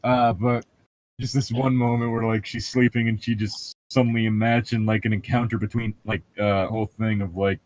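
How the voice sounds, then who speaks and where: A man's voice: fairly low pitch, level tone, normal speed, a little mellow, low-quality recording. A man doing casual, mocking read-along commentary as a show host, jokey and profane as he rambles. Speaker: host of a comedic book-reading show.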